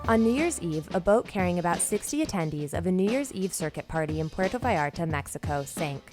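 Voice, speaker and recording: A woman's voice: fairly low pitch, lively intonation, brisk, music in the background. A woman reading a scripted news report in a formal, detached way.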